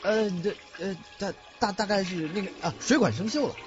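A man's voice: sits high, fairly quick, natural voice. A man playing a tight-fisted, flustered landlord who hedges and whines in an audio drama. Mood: impatient, flustered. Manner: flustered, hedging, wheedling. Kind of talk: Chinese audio drama acting. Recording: muffled recording with music behind.

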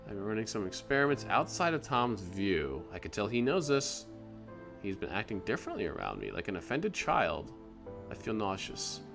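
A man's voice: lowish voice, gravelly, full character voice. A man voicing a troubled male Russian space station scientist with a clumsy put-on Russian accent, reading his logs aloud. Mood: uneasy.

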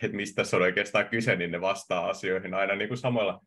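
A man on a headset hosts an online meetup. His voice is low and husky, and he speaks in a relaxed, hesitant way, full of fillers. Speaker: meetup facilitator. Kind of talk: casual discussion. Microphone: headset on video call.

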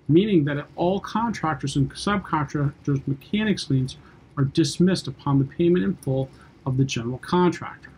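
A man's voice: on the high side, darkish tone, husky, rough recording. A man in his forties, a lawyer, reading a formal scripted explainer to camera in his office. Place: office with window, indoors.

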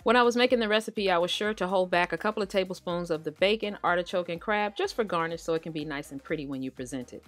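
A woman's voice: fairly low pitch, fast, few pauses. An American woman hosting a cooking show, narrating a recipe casually and talking directly to viewers in a conversational way. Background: background music.

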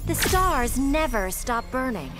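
A woman's voice: sits high, very animated, slow pace, exaggerated voice. A woman voicing a proud, fiery alien warrior princess in a video game. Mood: proud challenge. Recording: loud music behind the voice.

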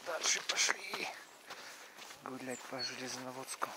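A middle-aged man giving loose, halting commentary as a travel vlogger while walking through a snowy park. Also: medium pitch, very noisy. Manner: halting, loose, repeats himself.

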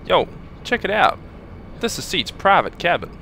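A man voicing a brash, excitable young fighter. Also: high-pitched, normal speed, gravelly, slight background noise, pitched above the performer's own voice, heavily acted. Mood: excited. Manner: enthusiastic, boastful.

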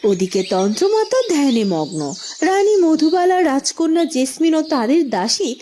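A woman's voice: high voice, big pitch swings, moderate pace, dark tone, slight background noise. Woman narrating a cartoon fairy tale. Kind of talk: scripted cartoon storybook narration.

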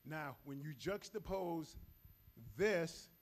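A middle-aged man with a loud voice, giving a live safety training talk on a handheld mic in a large auditorium, moving around as he speaks. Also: on the high side, slow pace. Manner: energetic, moves around the stage while talking. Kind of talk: live presentation to an audience. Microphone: handheld microphone.

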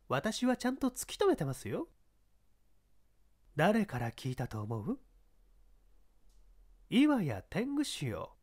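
A woman's voice: on the high side, fairly bright tone, full character voice. A woman voicing a pushy, calculating female baseball scout, insistent and needling, in a story reading. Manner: insistent, needling, feminine old-fashioned phrasing.

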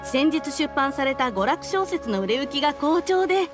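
High-voiced, courteous young clerk. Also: female voice, animated, normal speed, music in the background. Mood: pleased. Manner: very polite, businesslike. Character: polite young female publishing clerk, gentle, formal. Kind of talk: anime game voice acting.

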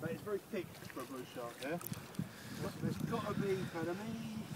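A middle-aged man skippering a fishing boat, shouting urgent orders and swearing while filming on the open deck. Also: high-pitched, animated, moderate pace. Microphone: handheld camera on deck. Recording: heavy wind noise outdoors. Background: wind and boat engine.

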